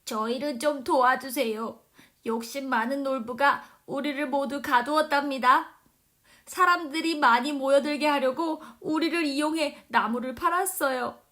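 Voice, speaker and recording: A gentle little storybook terrapin played by a woman, with a high voice. It speaks politely and very earnestly, stressing its words with apologetic feeling. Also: moderate pace. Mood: pleading. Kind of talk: children's storybook character acting.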